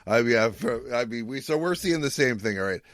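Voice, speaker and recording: A man's voice: medium pitch, animated, brisk, a bit bright, no room sound, studio quality. A man hosting a podcast in casual chat, with a jokey, conversational manner. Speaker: podcast host.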